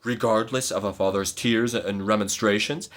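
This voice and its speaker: A man voicing a scheming knight in a gothic tale, speaking in stern, measured declamations. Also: on the low side, fairly even intonation, fairly bright tone, close to an everyday voice. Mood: accusing. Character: scheming male knight, smooth and commanding. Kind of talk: dramatic gothic reading.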